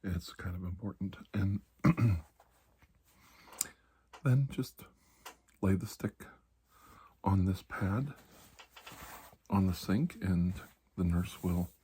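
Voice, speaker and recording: A man playing a calm, reassuring doctor in an ASMR roleplay, speaking softly and slowly with pauses, often near a whisper, in a breathy, husky voice. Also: low voice, a little hiss or hum. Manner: slow, calming, deliberate with long pauses. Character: calm, reassuring older military doctor treating alien infection.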